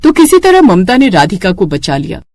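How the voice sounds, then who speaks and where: A woman's voice: medium pitch, huge pitch swings, moderate pace, darkish tone, no echo, studio quality. A woman narrating a scripted moral tale as a storyteller.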